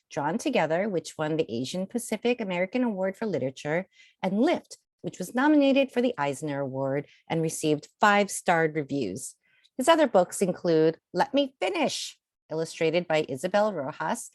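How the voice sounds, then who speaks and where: A woman reading aloud to children in an expressive, theatrical storytelling style, drawing out key words. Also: on the low side, no echo, studio quality. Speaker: children's picture book reader.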